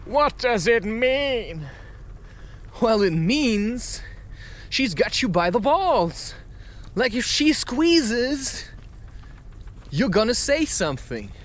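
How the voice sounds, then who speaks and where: A man giving a rambling, confessional monologue in a halting, emphatic way, recorded outdoors while walking. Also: very high-pitched, sing-song, fairly bright tone. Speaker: vlogger recording a spoken-word podcast.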